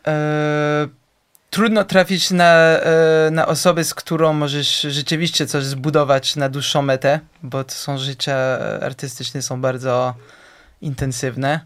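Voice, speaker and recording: Slow, halting man with a smooth voice. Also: on the high side, flat delivery, fairly bright tone. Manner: slow, searching for words with many fillers. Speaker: interview guest, musician. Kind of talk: casual interview answers.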